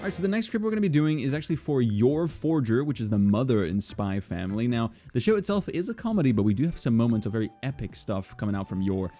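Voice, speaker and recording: A young man who creates videos, chatting casually in an informal, excitable and expressive way. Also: medium pitch, quick pace, few pauses, music in the background. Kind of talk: casual chatty vlog talk.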